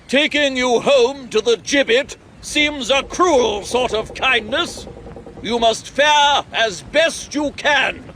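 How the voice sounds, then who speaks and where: An actor playing a stern ship's officer, calling out formally and deliberately in a sea drama. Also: male voice, very high-pitched, bright, forceful tone, heavily acted.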